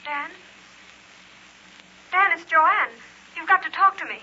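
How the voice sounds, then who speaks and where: An actress playing a frantic sweetheart who pleads urgently in an old radio horror drama. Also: female voice, very high-pitched, slow pace, bright, forceful tone, a little hiss or hum, heavily acted. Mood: frantic pleading. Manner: urgent, imploring. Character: frantic, pleading sweetheart warning her man. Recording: muffled vintage radio recording, telephone-like.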